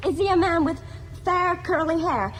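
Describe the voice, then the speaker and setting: A woman's voice: very high voice, normal speed, fairly bright tone. An actress playing a plucky, forthright young female adventurer in a science-fiction radio drama. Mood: eager.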